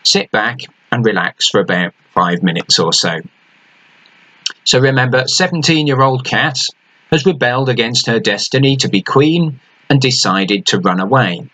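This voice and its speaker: Lively man with a husky voice. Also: mid-range voice, moderate pace, poor audio. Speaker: author. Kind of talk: introducing his book and reading aloud.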